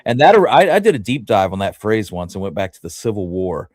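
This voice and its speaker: A man's voice: fairly low pitch, very animated, fairly quick, a little mellow, no room sound, studio quality. A man co-hosting a history talk show, telling anecdotes in an informal, rambling way. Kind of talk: casual chat with anecdotes.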